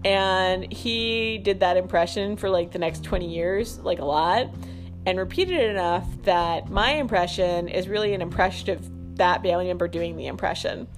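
A woman's voice: fairly low pitch, moderate pace, over music. A woman hosting a podcast in a casual stream-of-consciousness monologue, rambling and self-deprecating. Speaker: podcast host.

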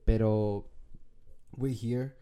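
A man with a raspy, allergy-worn voice talks through his solo podcast episode, the tone very dark and mellow. He rambles casually, circling back on himself with lots of fillers and restarts. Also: on the low side, fairly even intonation, very slow. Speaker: podcast host.

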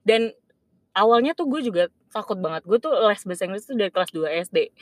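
A young woman co-hosting a casual podcast chat, in relaxed slang with English words mixed in. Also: mid-range voice, a little fast, no echo, clean studio sound. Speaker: podcast co-host.

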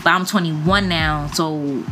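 A young woman in her twenties, a guest on a casual podcast, talking in an animated way. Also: sits low, takes it slowly, over music. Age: 20s. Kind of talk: casual chat.

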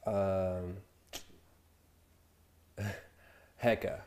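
Slow, deep-voiced hesitant male interviewer. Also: little pitch movement, dark tone. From San Francisco Bay Area. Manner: hesitant, backchannels a lot.

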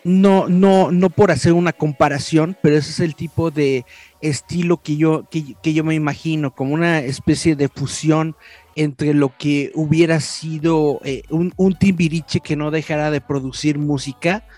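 A man's voice: fairly high pitch, fairly even intonation, moderate pace, darkish tone, dry recording. A man interviewing a musician, asking long rambling questions in a casual way. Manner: chatty, rambling questions with restarts.

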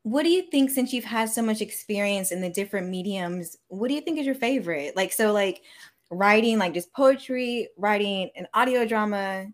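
A woman's voice: mid-range voice, lively intonation, moderate pace. A woman hosting a casual interview, chatting informally in an affirming, empathetic way. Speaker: interviewer, show host. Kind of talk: casual chat.

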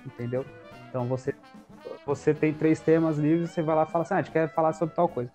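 A man's voice: a little high, fairly even intonation, fast, warm tone, faint music behind. Man hosting, loose and hesitant. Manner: loose, hesitant, many restarts and fillers. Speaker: podcast host. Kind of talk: casual podcast interview.

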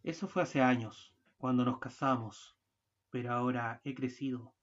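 A man in a student radio play acting a hurried, irritable husband, with a curt, grumbling delivery. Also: medium pitch, steady tone, muffled recording, natural voice. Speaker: radio drama actor.

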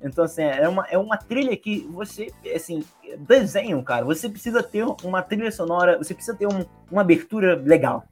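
Lively Brazilian man chatting casually. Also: sits high, quick pace, slightly rough, soft background music. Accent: Brazilian Portuguese. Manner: animated, hums and imitates theme songs. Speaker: podcast co-host. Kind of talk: casual chat about cartoons.

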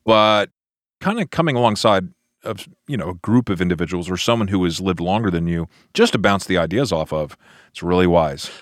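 A man's voice: low voice, expressive, a little fast, no room sound, clean studio sound. An American man, a pastor hosting a podcast, asking questions and commenting thoughtfully in a conversational way. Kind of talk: conversational interview.

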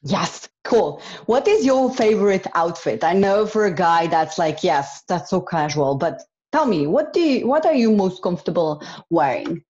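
A woman's voice: on the low side, lively intonation, moderate pace, hardly any pauses, darkish tone, muffled recording. A woman hosting a podcast interview, upbeat and enthusiastic. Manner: enthusiastic, laughs often. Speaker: podcast host. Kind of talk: casual interview chat.

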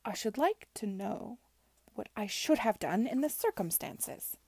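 Woman doing a rakish young gent from an audiobook, husky n mellow, grudging and defensive, kinda halting. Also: medium pitch, lively intonation, no echo. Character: bitter, evasive young gentleman, rakish and defensive. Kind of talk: audiobook character dialogue.

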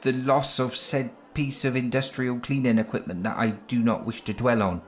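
A man playing an earnest, excitable spaceship cleaner in a sci-fi comedy audio drama. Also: mid-range voice, normal speed, close to an everyday voice. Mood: sheepish.

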